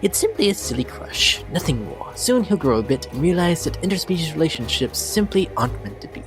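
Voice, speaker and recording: A performer puts on a vain lady pony with prim, affected airs in a dramatic fan reading. The delivery is theatrical and genteel, swooping widely in pitch with an unhinged, lovesick edge. Instrumental music plays clearly behind the voice. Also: big pitch swings, brisk, lightly acted. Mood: dainty, melodramatic. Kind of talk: dramatic reading of a fan-made character blog.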